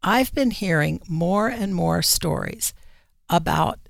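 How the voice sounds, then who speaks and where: A woman speaking as an editor and radio guest, explaining things conversationally in deliberate, measured phrases. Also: on the low side, lively intonation, gravelly, dry recording, clean studio sound.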